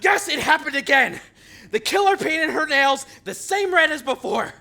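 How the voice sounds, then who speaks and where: Volatile bereaved husband, high and creaky, obsessive, distraught audio-drama delivery. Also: male voice, lively intonation, normal speed, sharp tone, heavily acted. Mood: agitated grief. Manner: distraught, repetitive, explosive. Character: volatile, grief-stricken widower, agitated and obsessive.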